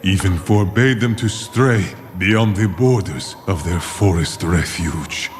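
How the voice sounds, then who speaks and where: A weary, grave lore-keeper narrating a fantasy game tale. His voice sits low and he takes his time over each phrase, stately and deliberate. Loud music runs beneath him. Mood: solemn, grave. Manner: slow, solemn, deliberate storytelling. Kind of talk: dramatic scripted narration for game cinematic.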